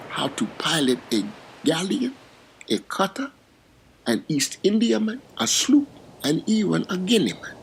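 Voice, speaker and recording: A man playing an enslaved African boatman who recounts his capture in a slow, formal, solemn monologue. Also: high-pitched, vocal fry, slight background noise, full character voice, poor audio. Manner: slow, measured, formal speech with grave pauses. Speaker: narrator in character. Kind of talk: dramatic first-person monologue.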